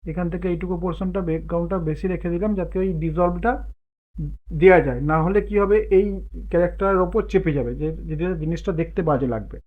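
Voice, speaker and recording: Phone-like, higher-pitched man giving a tutorial. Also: a little fast. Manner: explains while working, thinking aloud. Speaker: tutorial host. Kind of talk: step-by-step software tutorial, casual spoken instruction. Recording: muffled phone-like narow-band sound.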